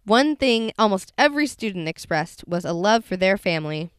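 A woman hosting a public radio feature, reading scripted narration in a measured, clear and friendly way. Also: mid-range voice, animated.

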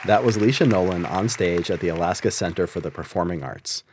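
A man hosting a radio show, introducing the next storyteller in a measured announcer style. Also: low voice, a crowd in the background, background noise. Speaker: radio host.

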